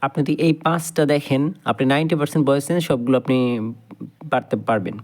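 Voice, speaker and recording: A man's voice: medium pitch, fast talker, darkish tone. A man with a Bangladeshi accent giving an informal grammar lesson, explaining step by step and often repeating and rephrasing his points. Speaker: English grammar teacher.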